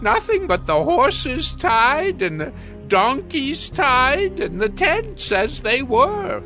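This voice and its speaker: A woman playing a leper who brings astonishing news in a dramatized audio Bible, speaking slowly and deliberately. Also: high-pitched, sing-song, a bit bright, soft background music, heavily acted. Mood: earnest, amazed.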